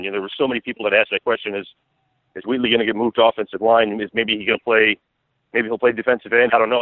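A man's voice: mid-range voice, dry recording. A man giving casual sports analysis as an interview guest, thinking aloud in a rambling, conversational way. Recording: tinny, dry phone-like radio line.

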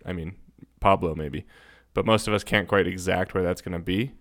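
A man's voice: low-pitched, steady tone, normal speed, a bit bright. A man co-hosting a podcast, explaining things informally and thinking aloud as he goes. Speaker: podcast co-host. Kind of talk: casual podcast chat and explanation.

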